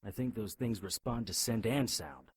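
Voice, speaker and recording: A man's voice: on the low side, expressive, normal speed, heavy background noise, close to an everyday voice. A man playing a blunt, skeptical private investigator in an audio drama, wry and urgent. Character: gruff, skeptical amnesiac private investigator hero.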